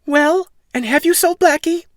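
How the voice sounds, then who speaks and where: A man's voice: very high-pitched, measured pace, dry recording, studio quality, voice pushed up. A man voicing a poor widowed mother, fretful and scolding, in an insistent, exclaiming way. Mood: eager, pressing.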